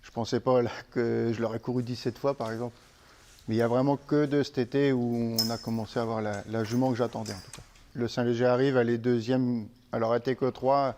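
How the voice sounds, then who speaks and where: A middle-aged man from Normandy, a horse trainer, speaking in a relaxed, halting way with restarts in a casual interview. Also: medium pitch, a little hiss or hum. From Manche, Normandy, France.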